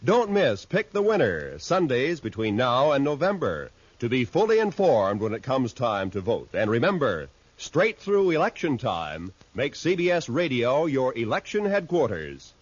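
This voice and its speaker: A man delivering a formal 1950s radio studio announcement in a polished announcer's manner. His pitch rises and falls a great deal. The studio sound is dry. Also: sing-song, moderate pace, hardly any pauses, no room sound, muffled recording.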